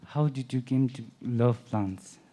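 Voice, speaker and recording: A man in an audience asking a polite, deliberate question into a microphone. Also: medium pitch, dark tone. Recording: audience microphone with background noise.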